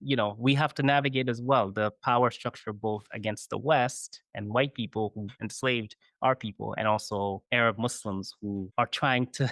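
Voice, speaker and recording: A man of middle pitch talking through ideas in a thoughtful, explanatory way, often tagging his points with "right?". His voice sits close and dry on the mic, with no room around it. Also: fairly even intonation, normal speed, a bit bright, no room sound. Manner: thoughtful, explanatory, frequent "you know" and "right?". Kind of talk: conversational interview.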